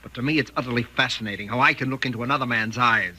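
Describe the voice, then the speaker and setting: An actor playing a domineering, scheming husband in a 1940s radio thriller, with an insistent theatrical delivery. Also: male voice, medium pitch, rapid-fire, pushed and bright. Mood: intense, menacing. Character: domineering, smooth-talking, scheming husband, coldly intense. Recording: noisy old 1940s radio recording.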